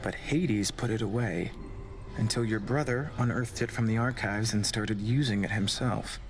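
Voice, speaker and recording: A calm, courtly god, voiced by a man, formal and measured, with a somewhat low voice. Faint game music sits underneath. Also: natural voice.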